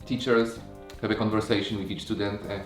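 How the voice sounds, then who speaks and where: A man who manages a language school, speaking English as a second language in a relaxed chat, often pausing with fillers. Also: lowish voice, reverberant, faint music behind. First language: not English. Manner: animated, halting with many fillers. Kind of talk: casual conversational chat.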